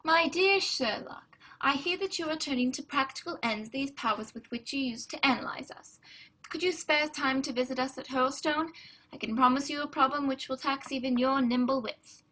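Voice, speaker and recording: A woman playing a courteous upper-class gentleman reading a letter in a 1930s-style radio play, formal and theatrical. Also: sits high, normal speed, low-quality recording. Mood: formal, hopeful.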